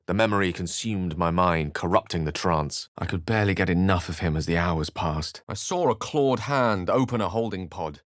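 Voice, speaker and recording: A man playing a learned wizard from a fantasy game, speaking in a lowish register. He is articulate and erudite, with a theatrical turn to his phrasing, and the recording is close and dry. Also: normal speed, few pauses, no room sound, natural voice. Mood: grave, brooding. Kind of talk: video game character acting and narration.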